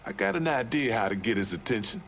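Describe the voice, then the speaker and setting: A man's voice: medium pitch, big pitch swings, fast, a bit bright, slight background noise. An actor voicing a streetwise, embittered Southern man in a video game, with folksy, salty talk. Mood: bitter, scheming. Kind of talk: video game cutscene acting.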